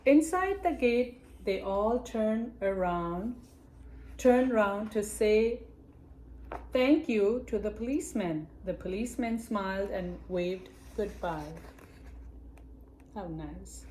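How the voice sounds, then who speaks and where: A woman reads a children's picture book aloud in an unhurried, storytime manner, pausing now and then to address young listeners. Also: mid-range voice, expressive, a little mellow, slight background noise, rough recording. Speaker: library storytime reader.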